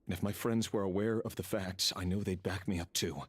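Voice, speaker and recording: Deep, brooding weary secret operative. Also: male voice, fairly even intonation, husky. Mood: defensive, insistent. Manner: brooding, halting, self-justifying. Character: gloomy, weary male intelligence operative, defensive and dutiful. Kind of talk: dramatic video game acting.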